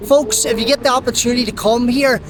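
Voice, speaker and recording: A man's voice: very high-pitched, fairly even intonation, fast. Belfast male travel vlogger, upbeat presenter, walking and talking outside, faint traffic hum. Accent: Belfast Northern Irish. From Northern Ireland. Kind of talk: travel vlog narration and interview. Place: outdoors in a city.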